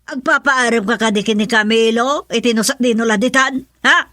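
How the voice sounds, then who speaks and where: A woman's voice: fairly high pitch, a little fast, pushed and bright, no echo, clean studio sound. A woman playing a pushy, scheming mother in a radio drama, speaking insistently with an Ilocano accent. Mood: nagging, insistent. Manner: nagging, insistent. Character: pushy, scheming mother urging her daughter to marry money.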